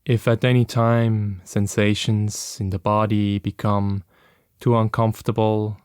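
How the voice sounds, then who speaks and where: Slow, smooth-voiced man guiding meditation. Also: fairly low pitch, level tone, dry recording, studio quality. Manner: gentle, unhurried instruction with long pauses. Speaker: meditation teacher. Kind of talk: guided body scan meditation.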